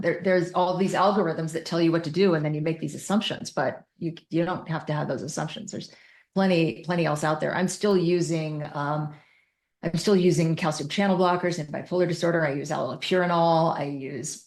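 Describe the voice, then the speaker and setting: Low-voiced woman explaining her clinical practice. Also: darkish tone. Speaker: interview guest, psychiatric clinician. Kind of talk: conversational interview answers explaining clinical practice.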